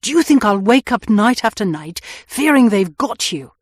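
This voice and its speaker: A woman voicing an anguished young man on the run, who swings from vehement outbursts to hushed, tender pleading in a husky, breathy voice. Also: on the low side, slow pace, no echo, clean studio sound, full character voice. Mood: insistent. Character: anguished young man, hunted fugitive, passionate and brooding.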